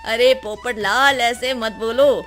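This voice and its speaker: A woman's voice: on the high side, fairly even intonation, slow, soft background music. Woman as kind, insistent big-brotherly man. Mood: reassuring, insistent. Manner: warm, insistent, advising. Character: Suresh, kind reassuring married man, big-brotherly neighbour.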